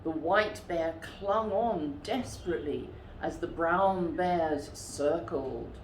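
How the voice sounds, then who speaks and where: A woman reading a children's story aloud expressively, with theatrical, deliberate emphasis. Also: low-pitched. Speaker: storytime reader. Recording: roomy echo with faint background noise.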